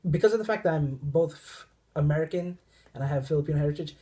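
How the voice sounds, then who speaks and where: A young man answering interview questions earnestly, with halting restarts. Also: a little high, dark tone.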